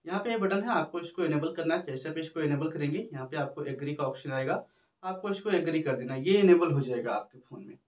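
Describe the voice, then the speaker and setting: A man's voice: a little high, quick pace, a little mellow. A man, explaining phone settings step by step to camera in a repetitive tutorial manner. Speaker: tech tutorial host. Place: small indoor room with backdrop. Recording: roomy, distant mic, dull muffled sound.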